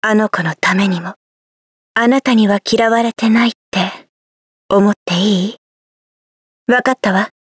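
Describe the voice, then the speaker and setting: A woman playing a cool, devoted young nightmare fairy in a video game, with a breathy voice. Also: mid-range voice, a little fast, pauses often, no echo, clean studio sound. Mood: somber. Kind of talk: anime-style video game voice acting.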